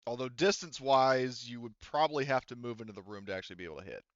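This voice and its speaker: A man's voice: mid-range voice, fast, dry recording, dull audio. A man running a tabletop roleplaying session as game master, casually explaining rules in a hesitant, thinking-aloud way.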